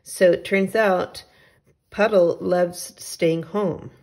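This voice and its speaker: A woman's voice: on the low side, fairly even intonation, slow pace, a little mellow. A woman teacher reading a picture book aloud to young children, adding simple asides about the pictures.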